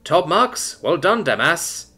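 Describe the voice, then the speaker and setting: A man voicing a huge, boisterous young man who talks loudly and eagerly and jokes crudely. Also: medium pitch, sing-song, moderate pace, faint music behind. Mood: excited. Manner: loud, eager, crude joking.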